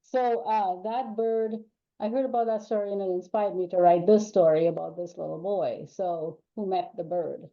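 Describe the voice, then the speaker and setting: A woman's voice: lowish voice, fairly even intonation, moderate pace, vocal fry. An older woman from Trinidad and Tobago, a guest author chatting casually in an interview, talking in loose runs with many ums and restarts. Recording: dull lo-fi call audio.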